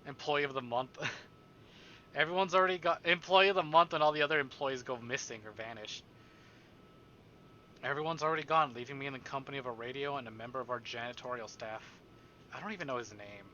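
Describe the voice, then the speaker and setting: Guy streamer reading a visual novel out loud, really bright forceful voice, slightly dull noisy audio. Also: mid-range voice, a little fast. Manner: dramatic narration broken by joking asides. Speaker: livestreamer. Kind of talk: visual novel read-aloud with casual commentary.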